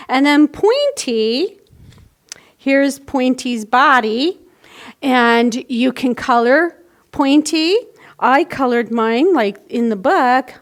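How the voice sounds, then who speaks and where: A woman with a high voice talks to young children as a storytime presenter. She takes her time, giving simple, step-by-step craft instructions in a casual teaching style.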